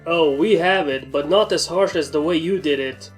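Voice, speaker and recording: A woman's voice: deep voice, normal speed, faint music behind, close to an everyday voice, low-quality recording. A woman voicing a stern, stoic pony who questions and disapproves, as part of a story reading. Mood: disapproving, puzzled.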